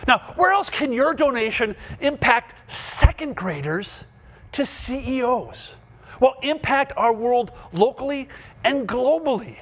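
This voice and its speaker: Very high-voiced, fired-up male host. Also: a little slow. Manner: excited, urgent, rallying. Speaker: fundraising host. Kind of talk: live gala appeal.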